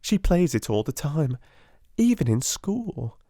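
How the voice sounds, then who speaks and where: A man's voice: on the high side, very animated, slow, mellow, no echo, clean studio sound, voice pushed up, full character voice. A man voicing a giggly, gossipy, teasing schoolgirl in a storybook. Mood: eager gossip.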